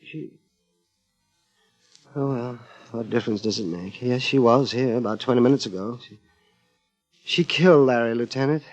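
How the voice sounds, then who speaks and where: An actor playing a nervous, evasive suspect, flustered and overly polite, in 1950s radio crime drama style. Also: male voice, medium pitch, close to an everyday voice. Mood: anxious, confessional. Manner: flustered, halting, deferential. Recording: muffled old radio broadcast, music behind.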